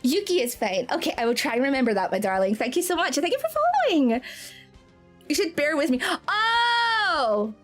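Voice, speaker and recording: A very high, lively Scottish woman's voice, streaming from a home setup with faint music playing behind her. She talks in a bubbly, giggly way, swooping up and down as she thanks each viewer. The pitch sits far above the usual female range. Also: moderate pace. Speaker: VTuber streamer. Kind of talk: live-stream chat with viewers.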